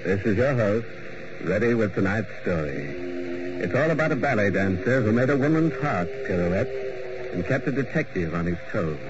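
Brief: man hosting a vintage horror hour, lively wry delivery, dull lo-fi radio. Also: on the low side. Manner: macabre punning, mock-genial. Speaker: host. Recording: muffled old radio broadcast with music.

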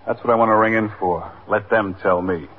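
A man's voice: lowish voice, steady tone, fairly quick, natural voice. An actor playing a practical, impatient male patrolman in a 1950s radio drama, firm but reasonable. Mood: exasperated. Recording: muffled, tinny 1950s radio recording.

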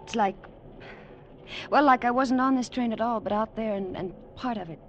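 An actress playing an alluring, secretive femme fatale in a vintage radio drama, speaking in a soft, wistful, coy manner. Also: female voice, on the high side, moderate pace, lightly acted. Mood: dreamy, wistful. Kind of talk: 1940s-50s radio drama acting. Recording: dull old radio, faint hiss.

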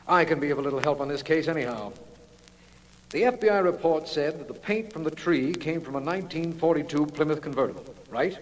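An actor playing an earnest, deferential and often puzzled companion to a detective in a 1940s radio drama. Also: male voice, on the high side, brisk, close to an everyday voice. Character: earnest, often puzzled official, the sleuth's loyal male companion. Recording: noisy old radio recording, hall reverb.

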